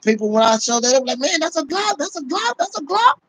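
A man's voice: extremely high pitch, sing-song, fast talker, a bit bright, dry recording. A middle-aged man talking casually about guns on a phone livestream from a small room at home, swearing and laughing as he goes. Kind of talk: casual chat. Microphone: phone held at arm's length. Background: fireworks outside.

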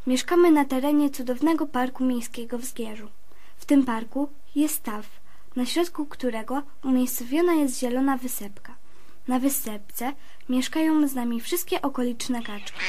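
A woman playing a gentle mother duck who narrates a children's audio play in a soothing, careful way. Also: high voice. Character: gentle mother duck telling her family's story.

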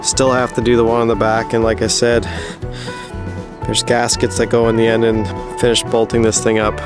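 A man's voice: medium pitch, completely flat delivery, moderate pace, music in the background. A man giving a casual, plain-spoken, practical walkthrough as the host of a DIY building vlog. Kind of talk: casual explanatory walkthrough of construction work.

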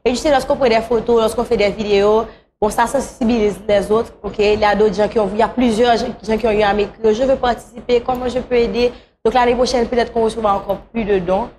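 A woman's voice: on the high side, steady tone, fast talker, darkish tone. A woman, speaking conversationally as a guest in a talk show interview. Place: small talk show studio, on a couch.

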